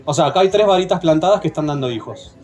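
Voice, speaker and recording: A man's voice: fairly high pitch, expressive, quick pace, darkish tone. A man with an Argentine accent leading a hands-on plant propagation workshop, patient and often checking in with the group. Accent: Argentine Spanish. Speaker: workshop instructor.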